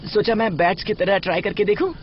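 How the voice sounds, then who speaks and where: An actor playing a brash, excitable and playful young monkey hero in a cartoon dub. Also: male voice, sits high, fast, background noise, close to an everyday voice. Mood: cocky, boastful. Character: cocky, boisterous young male monkey kung fu hero. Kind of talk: dubbed cartoon acting. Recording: muffled lo-fi dub with loud music.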